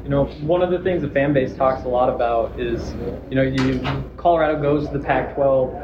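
A man's voice: mid-range voice, fairly quick, roomy sound, lo-fi sound, noisy background. A man, a sports reporter, asking players questions in a conversational way.

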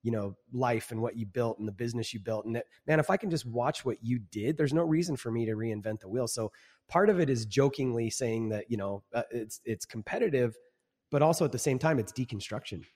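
A man's voice: medium pitch, fairly quick, darkish tone, big-room sound. A man in his forties, a guest on a podcast, speaking earnestly and reflectively, often repeating words as he starts a sentence. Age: 40s. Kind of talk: casual interview chat.

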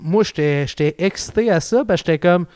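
A man's voice: sits high, a little fast, darkish tone, no room sound. Young male comedian guest, Quebec French, resonant voice, dry close sound, crude and self-mocking. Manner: slangy, swearing, self-mocking storyteller. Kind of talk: casual chat.

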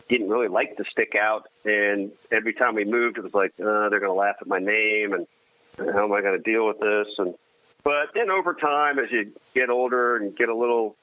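A man's voice: on the low side, no echo. A man whose first language was Armenian, talking with many restarts and wry humor. His voice comes through a narrow, tinny phone-like line, and the sound is close and dry with no room around it. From United States. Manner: halting, many restarts, self-deprecating humor. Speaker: guest. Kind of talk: casual conversation.

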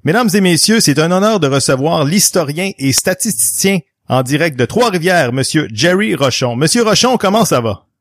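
A man's voice: fairly high pitch, lively intonation, normal speed, no echo, studio quality. A man hosting a casual podcast, upbeat and jokey. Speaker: podcast host.

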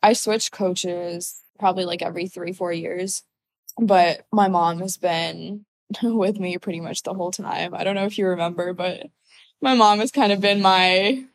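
A woman's voice: fairly low pitch, unhurried. A woman in her twenties from Ukraine, answering interview questions casually with lots of fillers. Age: 20s. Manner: relaxed, uses many fillers like "like" and "so yeah". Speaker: guest, college athlete.

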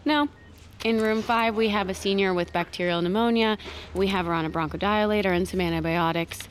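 An actress playing a tired, wry young nurse in naturalistic, conversational audio drama dialogue. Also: female voice, mid-range voice, steady tone, a little fast, a little hiss or hum, close to an everyday voice. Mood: matter-of-fact, tired.

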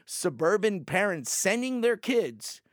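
A man from Puerto Rico, a native Spanish speaker, argues his point on a panel in an animated, emphatic way, full of restarts and "like"s. His voice sits a bit high for a man. The sound is close and dry. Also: measured pace, no echo. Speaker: panel guest. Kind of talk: panel discussion.

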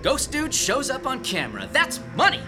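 A man playing a brash, sceptical, excitable TV cameraman in a radio drama, with a blunt, sarcastic, slangy way of talking. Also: extremely high pitch, normal speed, full character voice. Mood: excited. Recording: music behind the voice.

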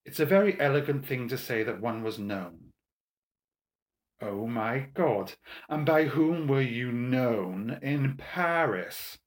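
Man performing young English gentleman, arrogant, theatrically scornful, mischievous, mocking drawl, stretched emphatic words, audiobook character. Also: medium pitch, sing-song, a little fast, hardly any pauses, echoey room, natural voice. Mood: mock-sympathetic, scornful.

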